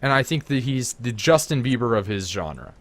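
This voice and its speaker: A man's voice: mid-range voice, expressive, moderate pace, no room sound. A Canadian man hosting a live gameplay stream, chatting casually and ranting his opinions with lots of fillers. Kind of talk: casual chat while playing.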